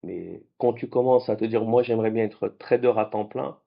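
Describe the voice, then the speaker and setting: A man whose first languages are French and Arabic, hosting a late-night trading vlog in a lively, conversational way. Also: fairly low pitch, normal speed, gravelly, highs cut off. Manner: animated, conversational, with restarts. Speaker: trading channel host.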